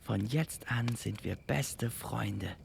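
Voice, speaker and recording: A man plays an eager little boy in a storybook audio play. The voice is lifted well above the performer's own, childlike and imploring, full of affection and excitement. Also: on the low side, slow, vocal fry, slight background noise, voice pushed up, exaggerated voice. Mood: delighted, affectionate.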